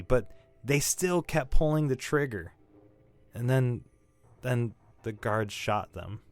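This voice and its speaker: An actor playing a shaken young male robbery suspect in an audio drama, defensive and grieving, halting and stammering as he talks. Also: mid-range voice, no room sound, close to an everyday voice. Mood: shaken recounting. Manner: halting, stammering, repeating himself. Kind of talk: naturalistic audio drama acting.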